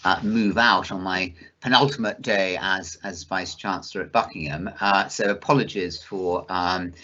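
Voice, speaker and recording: A man interviewing a guest in a study at home, speaking haltingly with many hesitations and repeated words. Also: low-pitched, fairly even intonation, pushed and bright, lo-fi sound. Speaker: interviewer, university vice chancellor. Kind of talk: conversational interview. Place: study in a house.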